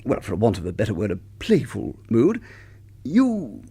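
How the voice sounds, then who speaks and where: An actor playing a fussy, slightly pompous British husband in a radio comedy drama, hesitant and prim, often stammering. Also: male voice, on the low side, wildly swooping pitch, normal speed, gravelly, mellow, breathy edge, exaggerated voice. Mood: awkward, sheepish.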